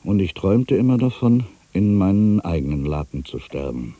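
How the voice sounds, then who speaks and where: Casting: proud, disillusioned old writer; very dark, husky voice, widely swinging intonation, theatrical monologue delivery. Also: male voice, low-pitched, very animated, normal speed, no echo. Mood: wry, disdainful. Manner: brooding, self-questioning, swinging from mutters to outbursts. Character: ageing solitary male writer, proud, wry, disillusioned. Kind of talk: literary dramatic monologue, theatrical reading.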